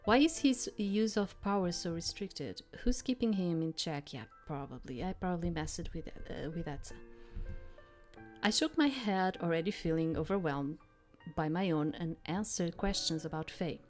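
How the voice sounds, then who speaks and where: A woman's voice: on the low side, lively intonation, moderate pace, a little mellow. A woman doing casual gameplay commentary and reading story text aloud, with a hesitant, thinking-aloud manner. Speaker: let's player reading a visual novel aloud. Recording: background music under the voice. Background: game music.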